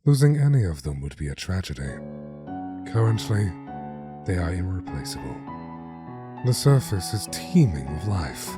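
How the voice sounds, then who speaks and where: A man's voice: low-pitched, sing-song, moderate pace, long pauses. A man playing an obsessive, brooding skeleton scientist in a dramatic comic dub, with precise, formal diction. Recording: music behind the voice.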